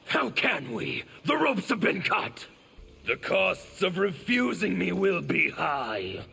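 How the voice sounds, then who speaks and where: An actor voicing a bitter, proud rebel man in a video game, with a raspy, gravelly voice. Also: high voice, wildly swooping pitch, slow pace, pushed and bright, heavily acted. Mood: defiant.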